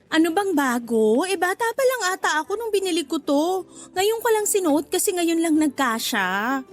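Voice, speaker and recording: A woman's voice: very high-pitched, sing-song, moderate pace. A woman playing a flirty, easily offended and jealous market vendor in a Tagalog radio drama, with a teasing, pouty delivery. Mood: playful, coy.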